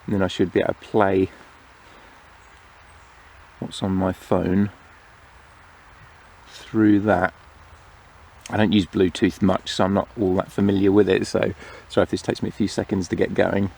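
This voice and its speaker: A man giving a casual, unhurried hands-on product review, explaining each feature as he goes. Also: low voice, little pitch movement, darkish tone. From United Kingdom. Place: outdoors among greenery. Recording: clear outdoor background noise.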